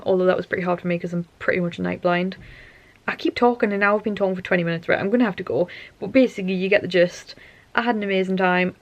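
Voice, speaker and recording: A woman's voice: mid-range voice, vocal fry, no echo, highs cut off. A young woman, tired and losing her voice, rambling chattily to camera at home at night. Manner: rambling, chatty, self-interrupting. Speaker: vlogger. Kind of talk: casual chatty monologue to camera.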